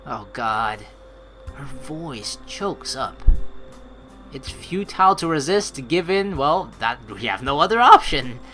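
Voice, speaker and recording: Lively, bright-voiced male let's player. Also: medium pitch, slow pace, soft background music. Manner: animated, rambling, informal. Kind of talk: game commentary, reading narration and lines aloud.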